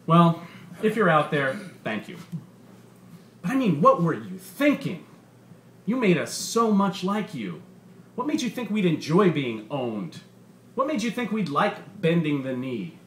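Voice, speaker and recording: A man's voice: a little high, big pitch swings, a little slow, a bit bright, voice pushed up. A man voicing a bitter, sardonic robot stand-up comedian with a profane, punchy, menacing delivery. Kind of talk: stand-up comedy routine in a short story reading. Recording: roomy bar with faint background noise.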